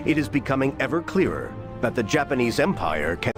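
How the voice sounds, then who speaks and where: A man narrating a World War II game briefing in a formal, measured, emphatic manner. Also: mid-range voice, big pitch swings, close to an everyday voice. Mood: grave, formal. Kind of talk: scripted wartime campaign narration. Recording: loud music over the voice.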